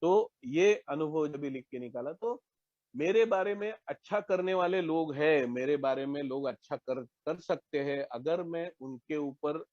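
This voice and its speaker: A Marathi man from Maharashtra, giving a candid, reflective personal testimony at an online support meeting, with hesitant pauses and restarts. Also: fairly high pitch, darkish tone, no room sound, muffled recording. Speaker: recovering member sharing at a support meeting.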